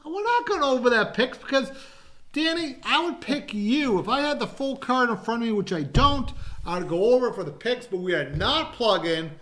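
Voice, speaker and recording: A man's voice: very high voice, expressive, normal speed, a bit bright. A man hosting a wrestling podcast, selling live shows over television in salesy, teasing banter. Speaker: wrestling podcast host and promoter. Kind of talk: casual promotional chat.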